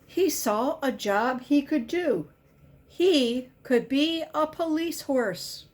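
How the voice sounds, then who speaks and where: Female storybook reader, higher register, takes it very slowly, stresses key words for young listeners. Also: a little mellow. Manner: simple, deliberate storytelling with emphasized key words. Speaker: volunteer children's book reader. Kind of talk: expressive picture-book read-aloud.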